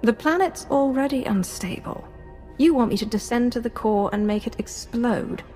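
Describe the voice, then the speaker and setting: A woman's voice: a little high, normal speed, mellow, faint music behind, natural voice. A woman playing a ruthless, haughty Sith lord in a video game, giving commands with cold menace. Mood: cold, commanding. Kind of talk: scripted video game acting.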